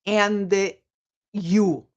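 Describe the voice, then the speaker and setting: A woman from Portugal, a psychologist and coach, answering interview questions in a reflective way with many pauses, over a video call. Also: mid-range voice, steady tone, highs cut off.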